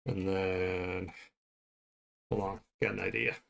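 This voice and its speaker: Man, lowish pitch, slow-paced, creaky, casual streamer chat, playful, self-deprecating, thinking out loud. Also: little pitch movement. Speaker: streamer and illustrator. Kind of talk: casual livestream chat while drawing.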